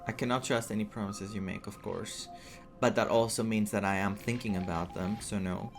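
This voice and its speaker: A man's voice: on the low side, steady tone, normal speed, creaky, soft background music. A man giving casual playthrough commentary on a story game, informal and musing aloud as he goes. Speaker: gaming YouTuber playing through a story quest.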